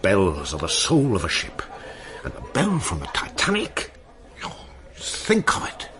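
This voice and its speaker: A man's voice: sits low, huge pitch swings, unhurried, fairly bright tone, heavily acted. An actor playing a seasoned, wistful seaman in a radio drama, telling tales in a musing, colloquial way. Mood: hushed awe, intense. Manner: musing, colloquial storytelling with seafaring turns of phrase. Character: seasoned old seaman, wistful, salty storyteller.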